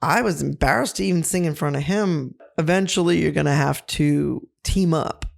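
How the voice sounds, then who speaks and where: A middle-aged woman with a low voice, co-hosting a casual podcast and giving earnest, reflective advice. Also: moderate pace, creaky. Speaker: co-host.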